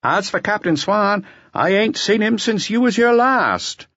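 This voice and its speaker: A man's voice: high-pitched, animated, unhurried, bright, forceful tone, no room sound, muffled recording, pitched above the performer's own voice, exaggerated voice. A man voicing a chatty, conniving harbour barman in an audiobook, with garrulous, colloquial working-class talk. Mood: confiding. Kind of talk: audiobook character dialogue.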